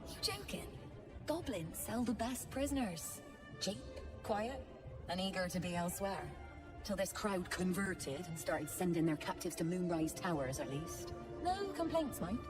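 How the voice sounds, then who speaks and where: Woman playing sly flippant lady trader in a fantasy game, really swingy pitch, music behind. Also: animated, moderate pace, lightly acted. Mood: sardonic. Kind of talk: video game voice acting.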